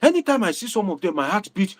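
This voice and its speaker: A Nigerian man hosting a livestream, talking to viewers in a preachy, animated way and dropping Pidgin asides. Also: sits high, fast. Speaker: livestream host and political commentator.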